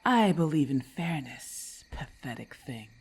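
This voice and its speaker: A woman voicing a haughty, menacing female lamia in an ASMR roleplay, with a husky, breathy voice and formal, condescending diction. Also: deep voice, sing-song, a little slow, a little mellow, heavily acted. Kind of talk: ASMR roleplay, breathy half-whispered acting.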